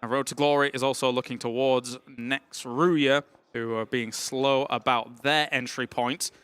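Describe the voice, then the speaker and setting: A man casting a live esports match with excitable, playful play-by-play. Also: medium pitch, clean tone, no room sound. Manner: excitable, quick reactions, playful banter. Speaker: esports caster.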